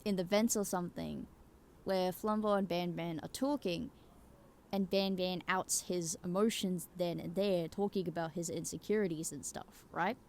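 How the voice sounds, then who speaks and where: A woman's voice: on the low side, fairly even intonation, moderate pace, darkish tone, a little hiss or hum. A woman giving casual, rambling opinion commentary in an emphatic way, swearing casually and checking in with the listener. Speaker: YouTuber giving game opinions.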